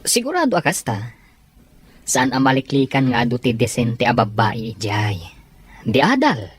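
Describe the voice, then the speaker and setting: A woman playing a frank, protective male friend in an audio drama, with a husky voice. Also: rumbling low, sing-song, normal speed, exaggerated voice. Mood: reassuring. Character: frank adult man, a protective friend giving advice.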